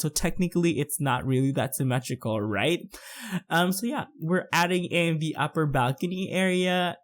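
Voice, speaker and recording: Chatty young Filipino man, slightly high. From Philippines. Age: 20s. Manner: chatty, rambling, many fillers. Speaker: YouTuber narrating a build. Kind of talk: casual voice-over commentary.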